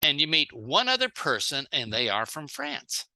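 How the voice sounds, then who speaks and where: Very bright, animated seminar speaker. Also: mid-range voice, unhurried, poor audio. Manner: plain-spoken, acts out short example dialogues. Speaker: speaker giving a sales training talk. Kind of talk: seminar talk in short phrases, paused for an interpreter.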